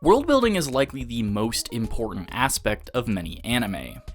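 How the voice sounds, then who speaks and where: A man's voice: mid-range voice, huge pitch swings, normal speed. A man reading a scripted video essay as an anime reviewer, analytical and articulate in formal wording. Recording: music behind the voice. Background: background music.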